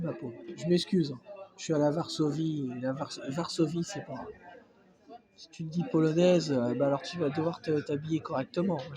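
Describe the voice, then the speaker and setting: A man with a cold, talking to his phone in a casual, hesitant vlog full of fillers and restarts. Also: a little high. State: has a cold. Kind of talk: casual reaction vlog monologue. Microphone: phone not held, off-camera.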